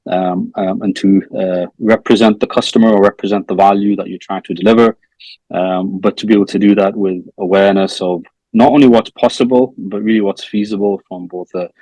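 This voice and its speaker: A middle-aged man from England, answering interview questions in a measured way, full of "um" and "kind of", over a video call. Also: fairly even intonation, no room sound, rough recording. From Newcastle, northeast England. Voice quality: quiet, low-volume voice. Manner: measured, many fillers like "um" and "kind of". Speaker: interview guest, product manager.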